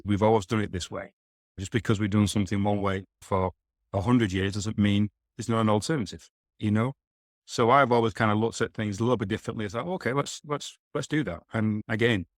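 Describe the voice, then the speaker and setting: A man's voice: on the low side, no room sound, clean studio sound. A man with a Manchester accent from Northern England, a company CEO talking casually as a podcast guest, often restarting and repeating words. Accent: Northern English, Manchester. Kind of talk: casual interview conversation.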